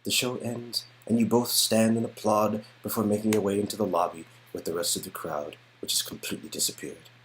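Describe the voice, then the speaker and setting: A man reading expressive second-person narration for an interactive story game. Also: fairly low pitch, fairly quick, few pauses, a little hiss or hum, low-quality recording. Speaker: narrator and player character reader.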